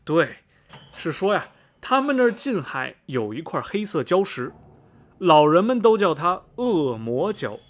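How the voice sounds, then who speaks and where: Male actor as folksy townsman, superstitious, gossipy, lively swinging intonation, lightly raised pitch, radio drama, interjection-heavy storytelling. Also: measured pace. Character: chatty, superstitious small-town local man, gossipy and folksy.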